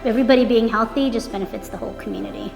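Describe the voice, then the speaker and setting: A woman's voice: fairly high pitch, very fast, vocal fry, darkish tone, reverberant, big-room sound, faint music behind. A woman speaking encouragingly in an interview about community and resilience. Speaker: interviewee, runner.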